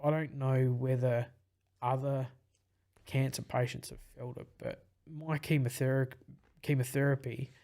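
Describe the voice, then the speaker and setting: A young man with a husky voice, a podcast guest talking in casual, rambling chat. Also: medium pitch, level tone, unhurried, darkish tone. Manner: rambling, with many fillers and restarts.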